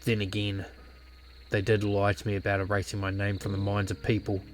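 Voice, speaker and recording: A man playing a brooding, regretful former clan leader in a visual novel, speaking in a somber, measured, reflective way. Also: on the low side, completely flat delivery, background noise, natural voice. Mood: grim, bitter.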